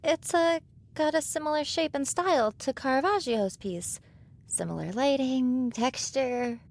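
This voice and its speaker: Woman acting teen girl art student in an audio drama, kinda high voice, sheepish and unsure of herself. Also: measured pace. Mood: nervous, sheepish. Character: unsure, self-doubting seventeen-year-old girl art student.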